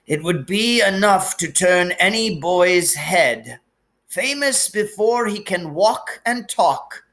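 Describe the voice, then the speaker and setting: Guy playing a wise old male wizard, storybook style, really slow, lifted pitch, serious and firm. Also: animated, a bit bright, full character voice. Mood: serious, assured.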